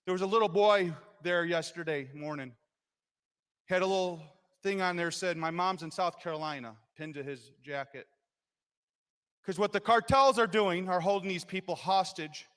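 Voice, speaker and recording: A man who is a state legislator, giving an impassioned floor speech to explain his vote, rising to loud emphasis. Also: sits high, smooth. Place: legislative chamber. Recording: long reverb in a legislative chamber.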